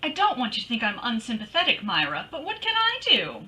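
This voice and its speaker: A woman acting as a breezy female private eye from an old radio serial. The voice is very bright and forceful, pitched high, and swings widely up and down. The delivery is sassy and full of quips. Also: wildly swooping pitch, a little fast, exaggerated voice. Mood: wry, teasing. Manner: sassy, quippy, slangy. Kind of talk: old-time radio detective drama acting.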